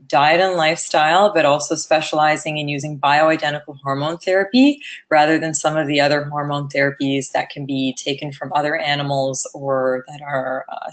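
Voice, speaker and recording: Woman with a really low voice doing a health webinar, chatty, lots of ums. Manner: low, lethargic-sounding voice; conversational, frequent ums. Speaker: webinar presenter. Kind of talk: educational webinar talk.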